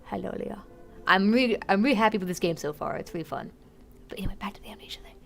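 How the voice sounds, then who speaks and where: A woman streaming a game live, chatting excitedly and giggling as she plays. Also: mid-range voice, rapid-fire, gravelly, faint music behind. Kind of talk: casual live gameplay commentary.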